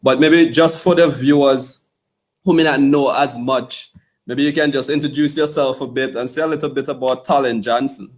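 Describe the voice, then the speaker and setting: A man's voice: mid-range voice, fairly even intonation, normal speed. A man hosting an interview podcast, talking in a casual, playful way with lots of "you know" and "okay". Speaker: podcast host.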